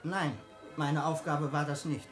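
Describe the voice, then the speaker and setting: An actor playing a loyal, guarded private secretary in a radio drama, laconic, measured and correct. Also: male voice, a little high, steady tone, a bit bright, soft background music. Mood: stiff, resolute. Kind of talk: German radio drama acting.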